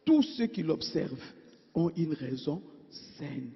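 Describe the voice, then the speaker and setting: Woman preacher, husky and high, rhetorical questions, loud then hushed; muffled church hall echo. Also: lively intonation, slow. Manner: asks the congregation rhetorical questions; swings between emphatic and hushed. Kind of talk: sermon. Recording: dull sound in a reverberant church hall.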